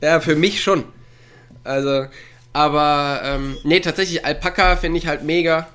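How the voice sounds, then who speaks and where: A man co-hosting a relaxed conversation from home over a remote call. He rambles through his thoughts with plenty of fillers. He chuckles often as he talks. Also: on the high side, a little slow, smooth. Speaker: podcast co-host. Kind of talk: casual chat. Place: at home, with a dog nearby.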